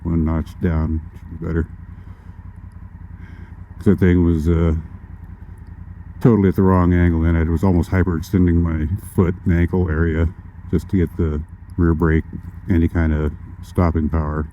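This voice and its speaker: A man vlogging from his motorcycle, talking casually through a helmet boom mic, rambling with lots of "uh" fillers. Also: level tone, highs cut off. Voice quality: occasionally a deep voice. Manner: rambling, halting with frequent "uh" fillers. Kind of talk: casual ride-along commentary. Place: riding a motorcycle on the road outdoors. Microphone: boom mic inside a modular helmet chin bar. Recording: helmet mic with loud road noise. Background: wind and traffic noise.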